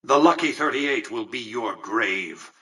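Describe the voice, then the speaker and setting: An actor playing an imperious, calculating tycoon in a video game, speaking formally with pompous condescension. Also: male voice, mid-range voice, expressive, unhurried, bright, forceful tone, husky, far from the mic, heavily acted. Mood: contemptuous. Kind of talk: scripted game character dialogue.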